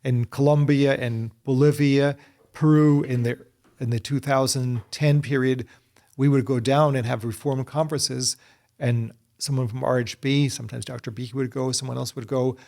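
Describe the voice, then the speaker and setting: Earnest man with a gravelly voice. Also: mid-range voice, steady tone, normal speed, warm tone. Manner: earnest, frequent restarts and repeated words. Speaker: guest, Bible society secretary. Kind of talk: conversational interview answers.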